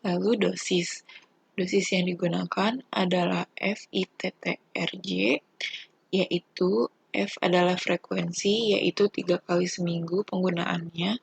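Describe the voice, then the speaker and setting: A young adult woman, a student giving an instructional presentation in a halting, deliberate, step-by-step way. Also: fairly low pitch, steady tone, creaky, breathy edge, poor audio. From Indonesia.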